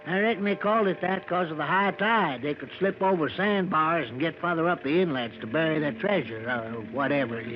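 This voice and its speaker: An actor playing a folksy backwoods American driver who gossips grimly and deferentially, in a drawn-out vintage radio drama style. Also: male voice, on the high side, natural voice. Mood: obliging. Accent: rural American. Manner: folksy, drawn-out storytelling with dialect grammar. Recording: muffled, noisy vintage radio broadcast.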